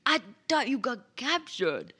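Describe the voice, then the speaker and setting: A woman voicing a confused, excitable lizardfolk shaman in a tabletop game, with broken, hesitant speech. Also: sits high, slow, slightly rough, heavily acted. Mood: surprised.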